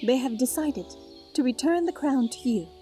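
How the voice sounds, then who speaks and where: A very high, gentle fairy voice played by a woman with soft music behind it. She speaks formally and graciously, like a kindly little royal in an audio fairy tale. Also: lively intonation, normal speed. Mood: gracious, warm. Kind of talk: amateur audio roleplay acting.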